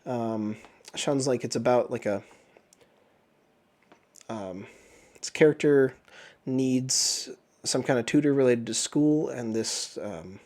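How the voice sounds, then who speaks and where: A man hosting a book review channel, chatting casually while sick with the flu, his voice a bit off, often clearing his throat. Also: medium pitch, slow pace, pauses often, a little mellow. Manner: rambling, frequent throat clearing and filler words. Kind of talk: casual chat.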